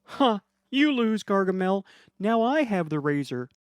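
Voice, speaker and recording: A man's voice: sing-song, measured pace, no room sound, clean studio sound. A man voicing a tiny, plucky, defiant dad who has been shrunk into a small creature, in a comic horror story. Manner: high-pitched, defiant.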